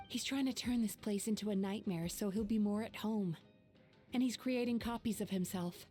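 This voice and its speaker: A woman playing a calm, wise young dream healer in a video game, explaining things in a measured, composed way. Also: mid-range voice, lightly acted. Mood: grave.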